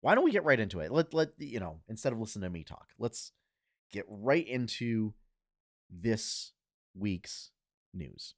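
A man in his thirties hosting a casual gaming news podcast from home on a headset, slightly husky from a cold, talking with lots of fillers and restarts. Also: on the low side, big pitch swings. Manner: halting, many fillers and restarts. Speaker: podcast host. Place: home room full of collectibles.

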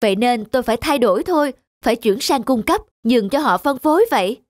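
A woman's voice: fairly high pitch, lively intonation, fast, close to an everyday voice. A woman voicing a polite, enterprising young village man in a cartoon, earnest and courteous. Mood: confident, modest. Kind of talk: cartoon dubbing. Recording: music under the dialogue.

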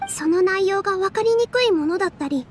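Game role: gentle, earnest young dancer girl, high soft voice, patient delivery over faint music. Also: lively intonation, brisk. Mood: earnest, troubled.

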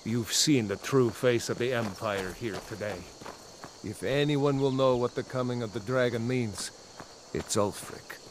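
An actor playing a loyal, earnest, plain-spoken rebel soldier in a fantasy video game. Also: male voice, medium pitch, unhurried, a little hiss or hum, lightly acted. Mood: earnest, persuasive. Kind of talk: video game dialogue acting.

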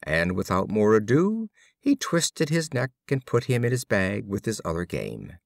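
A man reading fables as scripted storybook narration, in a measured, expressive manner with deliberate phrasing. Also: on the low side, creaky, dry recording, clean studio sound. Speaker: audiobook reader.

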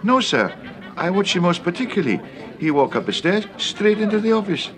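High-voiced, deferential waiter. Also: male voice, big pitch swings, brisk. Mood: anxious, insistent. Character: anxious, deferential male club waiter. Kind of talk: old radio detective serial acting.

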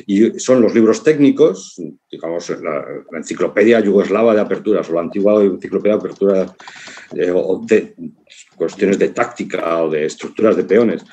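A man in his fifties with an accent from Spain, giving an informal conference talk over a video call in a reflective, halting way, often checking in with short tags. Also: low voice, warm tone. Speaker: congress speaker, writer and philosopher. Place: living room at home, on a video call.

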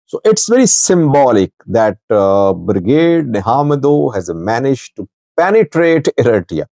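Male news commentator, middle-aged. Soft-edged tone, halting short phrases, fairly dry close clip-on sound. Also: mid-range voice, sing-song, vocal fry, no room sound. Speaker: news commentator, channel host. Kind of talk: informal political news commentary to camera. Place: small indoor office with wood-panelled wall. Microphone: small clip-on lavalier at chest.

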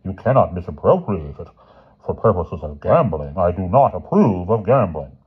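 A man's voice: sits low, sing-song, moderate pace, breathy edge, phone-quality sound, exaggerated voice. A man voicing a stern, exasperated middle-aged headmaster in a children's storybook, formal and firm in his pronouncements.

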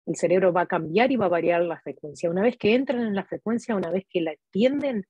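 Hoarse Argentine woman, dark husky voice. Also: on the low side, quick pace, dry recording, highs cut off. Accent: Argentine Spanish. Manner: chatty, digressive, frequent "¿sí?" check-ins. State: hoarse from talking all day. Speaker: immigration and language-test advisor. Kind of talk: casual online lesson. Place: home room, indoors. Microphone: webcam video recording.

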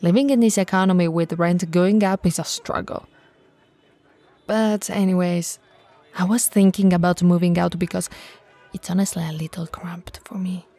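A woman playing a tall, friendly, teasing bento shop owner in an intimate ASMR roleplay, with a breathy voice and a casual, chatty manner. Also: fairly low pitch, steady tone, moderate pace, warm tone. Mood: relaxed, chatty. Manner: casual, playful, hesitant and chatty.

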